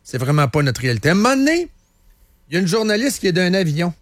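A man's voice: high-pitched, very animated, fast talker, fairly bright tone, dry recording, studio quality. A man with a Quebec French accent hosting a casual, opinionated current affairs talk show in colloquial speech sprinkled with English, repeating phrases for emphasis. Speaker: radio host.

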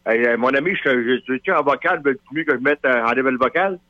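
A man's voice: mid-range voice, quick pace, dry recording. A man in his thirties with a Québécois French accent, calling in to a radio show and rambling hesitantly with many fillers. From Quebec. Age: 30s. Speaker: radio caller. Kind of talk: casual phone-in chat. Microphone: telephone handset. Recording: dull muffled phone line.

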